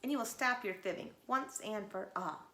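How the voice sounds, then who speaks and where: A woman's voice: medium pitch, moderate pace, bright, forceful tone, close to an everyday voice. A woman voicing a stern, weary mother wolf who sighs and brushes things off. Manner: sighing, dismissive. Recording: roomy echo, faint background noise.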